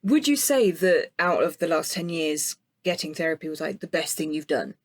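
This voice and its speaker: A woman's voice: sits low, normal speed, no echo. A woman interviewing a guest as podcast host in a casual, conversational way.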